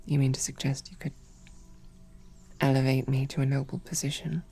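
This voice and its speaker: A woman playing a snarky, devoted female knight, stammering and flustered, often dropping to soft whispers. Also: extremely low pitch, flat delivery, normal speed, gravelly, slightly rough, soft background music. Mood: tender. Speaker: audio drama performer. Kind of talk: romantic audio roleplay.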